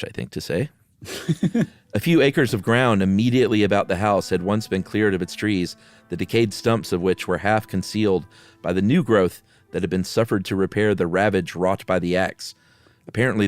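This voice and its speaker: Low-voiced man, close dry sound. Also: no echo. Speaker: podcast host. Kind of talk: casual chat and dramatic story reading.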